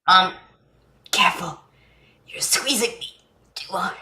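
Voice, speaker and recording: A woman's voice: mid-range voice, slow pace, sharp tone, slight background noise. A woman as earnest young boy, echoey room. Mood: eager, proud. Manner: earnest, blunt, childlike. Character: earnest, eager young boy, trusting and frank. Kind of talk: staged play reading.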